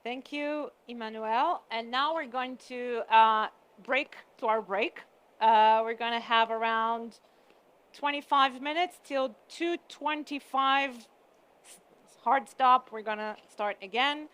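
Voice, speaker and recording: A woman's voice: on the high side, slow, fairly bright tone, clear, resonant tone. A woman moderating a live event, friendly as she announces a break.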